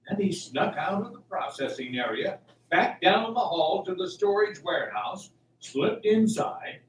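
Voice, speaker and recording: High-pitched man narrating an adventure drama. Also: slight background noise. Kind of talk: dramatic scripted storytelling. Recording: roomy, distant, music underneath.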